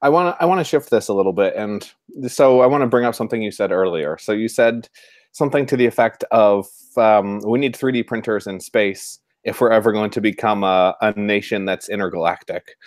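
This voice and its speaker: A man's voice: on the low side, brisk, no room sound, clean studio sound. A man hosting an interview in a casual, conversational style. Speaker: podcast host, interviewer.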